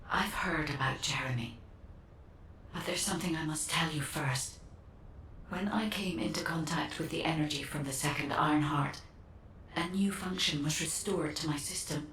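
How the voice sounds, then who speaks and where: An actress voicing a grave, earnest artificial intelligence guide in a video game, formal and measured. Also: female voice, fairly bright tone. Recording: echoey, distant, faint rumbling noise.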